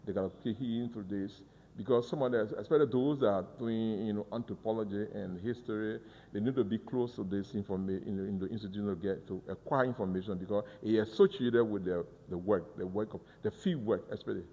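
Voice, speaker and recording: A man explains a museum in an earnest, halting way, often stumbling over and restarting his words. His voice is low-pitched and mellow. A long reverb from the museum hall trails behind him. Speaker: museum guide. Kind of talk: guided tour interview.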